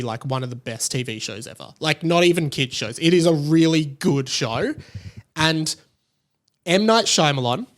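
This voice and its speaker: A young man hosting a podcast solo, giving casual, opinionated commentary in a rambling, conversational way with emphatic points. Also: fairly high pitch. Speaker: podcast host.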